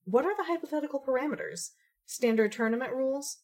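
A woman's voice: sits high, fast talker, darkish tone. A woman playing a haughty, wordy young lady gamer with a dry, mock-formal manner in an audio drama. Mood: businesslike.

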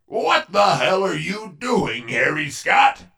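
A man's voice: high-pitched, sing-song, slow, gravelly, bright, forceful tone, distant mic. A man hosting as a podcast announcer in a pompous, theatrical, scripted comedy style. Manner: pompous, bombastic, exasperated showman delivery.

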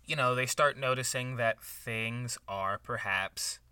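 A man's voice: medium pitch, slow, bright, forceful tone, clean tone, no echo, distant mic. A man playing an earnest amateur book podcaster in an improvised comedy, giving rambling, casual recaps. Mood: casual recapping. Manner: rambling, hedging plot recap full of "you know". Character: earnest male amateur book podcaster, eager writer friend. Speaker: co-host of a book review podcast.

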